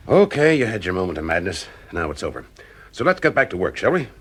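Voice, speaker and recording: An actor playing a calm, persuasive private detective in an audio drama, with a husky voice. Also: male voice, low-pitched, quick pace, full character voice.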